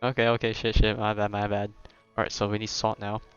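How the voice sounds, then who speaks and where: A game streamer giving casual live gameplay commentary in a relaxed, chatty style. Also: mid-range voice, brisk, clean tone. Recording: dull lo-fi sound, game music behind. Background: game music.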